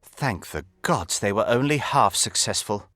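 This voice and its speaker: Animated, scheming nobleman. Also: on the low side, no room sound. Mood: intrigued. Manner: formal, measured, insinuating. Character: smooth, scheming aristocratic count, suavely insincere statesman. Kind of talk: video game voice acting.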